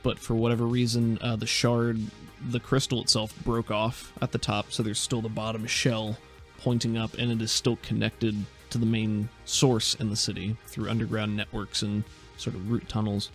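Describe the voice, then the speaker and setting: Man game master with slightly creaky voice. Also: fairly low pitch, little pitch movement, moderate pace, fairly bright tone, soft background music. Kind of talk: improvised tabletop game narration, conversational.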